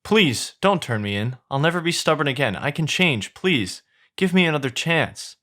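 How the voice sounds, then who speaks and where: A man voicing an excitable, boastful male talking donkey in an exclamatory, emphatic way. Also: mid-range voice, moderate pace, pitched above the performer's own voice, natural voice. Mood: pleading.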